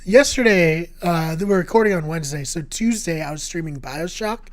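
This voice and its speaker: A man co-hosting a casual video game podcast, his voice going hoarse, talking in an upbeat, jokey way with rambling anecdotes. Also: fairly high pitch, moderate pace, crowd noise. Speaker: podcast co-host. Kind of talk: casual chat.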